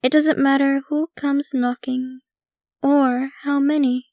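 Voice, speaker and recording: Woman as possessive ancient vampire girlfriend, ASMR roleplay, very slow delivery, sweetly menacing, intimate close mic, dry sound. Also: high voice, little pitch movement, a little mellow, no echo. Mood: possessive, threatening. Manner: unhurried, doting yet possessive, casually sinister. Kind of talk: ASMR roleplay, intimate scripted monologue to the listener.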